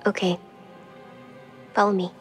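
An actress playing a lonely, innocent little girl in a video game, with a soft, hesitant, childlike delivery. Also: medium pitch, flat delivery, unhurried, over music, lightly acted. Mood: timid, sad. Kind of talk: cinematic video game acting.

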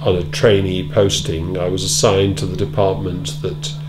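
A middle-aged man being interviewed in a wood-panelled room, answering in a measured, thoughtful way with many ums and repeated words. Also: low-pitched, flat delivery, over loud music. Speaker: interviewee, former British Council officer. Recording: loud hum behind.

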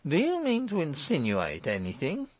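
A man's voice: fairly high pitch, huge pitch swings, takes it slowly, no room sound, voice pushed up, full character voice. A man voicing a sullen, evasive, nervous householder in an audiobook, hesitant and stammering as he schemes and blusters. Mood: indignant. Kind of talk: audiobook character voice.

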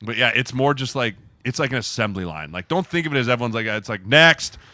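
A man's voice: medium pitch, animated, quick pace, bright, forceful tone, no echo. A man in casual sports podcast chat, explaining things by acting out quotes and often checking in with "right?". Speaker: podcast co-host, former player turned sports agent. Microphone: close podcast mic with headset.

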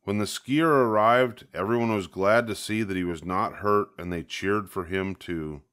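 A man's voice: fairly low pitch, normal speed, fairly bright tone. An older man reading a children's picture book aloud, expressively, leaning on key words. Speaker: storybook reader.

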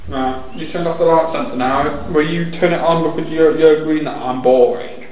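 A man in his thirties, a paranormal investigator, calmly coaxing spirits with a self-deprecating manner. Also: a little high, normal speed, recorded from a distance. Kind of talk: casual calling out to spirits. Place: dark abandoned factory at night, indoors. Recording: echoey hall with background noise.

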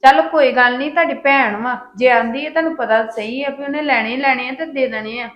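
A woman with a Punjabi accent playing a patient sister-in-law who gently lectures and coaxes. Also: sits high, fairly quick. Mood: earnest. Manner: coaxing, scolding tone. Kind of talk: comic family skit acting.

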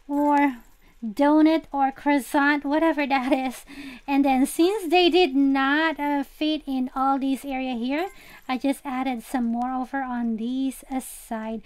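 Lady doing a casual game walkthrough for her channel, high voice, chatty w viewers. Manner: chatty, addresses viewers directly. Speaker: gaming channel host. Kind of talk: casual game tour voice-over.

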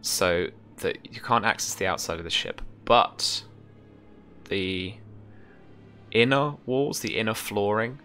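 A man in his thirties narrating a tabletop game as game master, describing scenes haltingly and deliberately. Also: fairly low pitch, animated, bright, forceful tone, soft background music.

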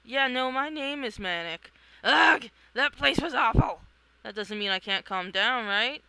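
A performer voicing an excitable, blunt and wary young superhero in a comic read-along. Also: medium pitch, unhurried, gravelly, clear, resonant tone, no room sound, heavily acted, rough recording.

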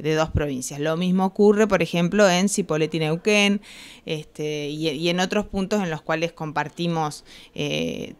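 A middle-aged woman with an Argentine Spanish accent, a councillor explaining things formally in a news interview, measured and hesitant with frequent pauses. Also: fairly low pitch, clear, resonant tone. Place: small office, indoors. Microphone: handheld reporter's microphone held close.

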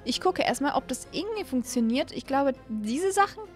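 Young woman, high voice, casual gaming commentary, excitable, close mic, faint music behind. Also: normal speed. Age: young adult. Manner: animated, excitable, exclaiming. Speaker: gaming video host. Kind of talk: casual let's-play commentary. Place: small indoor streaming room. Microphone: close desk microphone on an arm.

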